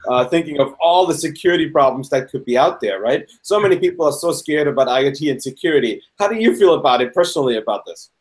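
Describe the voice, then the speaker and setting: A man's voice: on the high side, animated, quick pace, hardly any pauses. Austrian-born male host, middle-aged, curious and personal questions, video call sound. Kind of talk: casual live video interview. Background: fire engine passing.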